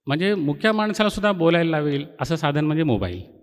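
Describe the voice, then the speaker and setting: A middle-aged man delivers an inaugural college speech. His voice sits a bit higher than usual for a man. He explains and reminisces like a lecturer, and his words ring with long hall reverb. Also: sing-song, quick pace. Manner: explanatory, poses rhetorical questions and answers them. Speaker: college faculty member giving an inaugural speech.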